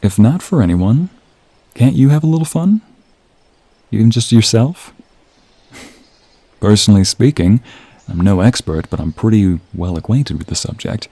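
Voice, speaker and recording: Guy acting as a confident flirty office coworker, low dark husky voice, romantic roleplay audio, teasing and intimate. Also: lively intonation, normal speed, long pauses, no echo. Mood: playful, flirty. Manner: smooth-talking, teasing, intimate. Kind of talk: romantic audio role play.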